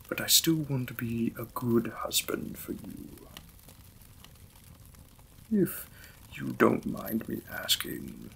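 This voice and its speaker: A courteous vampire lord and gentle new husband from a Victorian romance audio drama, played by a man. He speaks slowly and formally, with a crisp, bright edge and a creaky fry in his voice. His manner is polite and reserved, and there is clear noise behind the recording. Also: medium pitch, heavily acted, poor audio. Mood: gentle, hospitable. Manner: formal, polite, unhurried phrasing.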